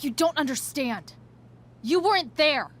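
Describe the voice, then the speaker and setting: A woman's voice: sits high, animated, unhurried, fairly bright tone. A woman playing a guarded, sarcastic, rebellious teenage girl in a video game, hesitant and slangy. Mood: defensive, uneasy. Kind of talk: naturalistic video game voice acting.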